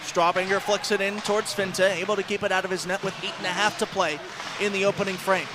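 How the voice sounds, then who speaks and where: A man's voice: sits high, little pitch movement, fast talker, noisy background. An American man doing live play-by-play radio commentary of a hockey game, describing the action in a descriptive announcer's style. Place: ice rink during a live game. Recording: music playing loudly behind live commentary.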